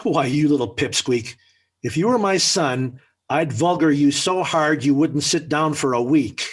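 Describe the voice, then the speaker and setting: An actor playing a sarcastic, hot-tempered middle-aged American father in a 1940s radio sitcom, with a slightly rough voice. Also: on the high side, a little slow. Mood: indignant, sarcastic. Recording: old 1940s radio recording.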